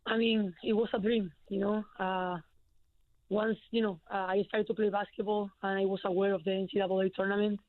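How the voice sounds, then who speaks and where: A woman's voice: mid-range voice, level tone, brisk, no room sound. A young woman from Spain with a Spanish accent, answering interview questions as a college basketball player, hesitant with many fillers. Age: young adult. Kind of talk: phone-in radio interview. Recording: tinny phone line.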